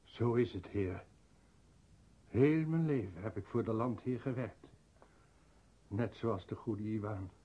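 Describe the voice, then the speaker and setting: An actor playing a fearful, pleading ninety-year-old peasant woodcutter in a radio drama, deferential and halting. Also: male voice, lowish voice, breathy edge. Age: ninety in the role. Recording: muffled, dull radio drama recording.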